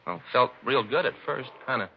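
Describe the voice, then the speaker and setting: A man's voice: mid-range voice, moderate pace, natural voice. An actor playing a put-upon American family-man detective, complaining in a folksy, rambling way, in 1950s radio drama style. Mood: aggrieved. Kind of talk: 1950s radio acting, conversational dialogue. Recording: dull vintage radio recording, faint noise.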